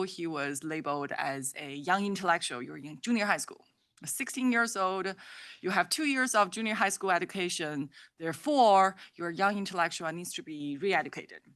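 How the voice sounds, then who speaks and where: Low-voiced woman panelist with wry asides. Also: a bit bright, dry recording, clean studio sound. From China. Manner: hesitant restarts, wry asides. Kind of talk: panel discussion.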